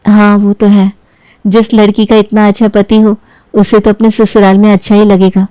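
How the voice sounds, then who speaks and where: A woman's voice: mid-range voice, flat delivery, moderate pace, warm tone, smooth, no echo, lightly acted, poor audio. A woman voicing a dutiful young daughter-in-law in a family story, polite and deferential. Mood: gentle. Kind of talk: acted dialogue in a Hindi family storybook tale.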